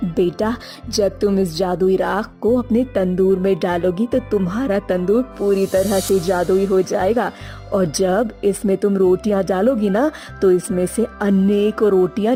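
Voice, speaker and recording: A woman's voice: medium pitch, fairly even intonation, measured pace, dark tone, soft background music, lightly acted. A woman voicing a mysterious, kindly older beggar woman who is a goddess in disguise, solemn and benevolent, in a children's story.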